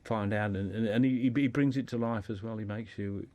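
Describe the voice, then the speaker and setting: A man speaking as a guest in an informal radio discussion, explaining in a halting way and often repeating words. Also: fairly low pitch, steady tone, no echo, highs cut off.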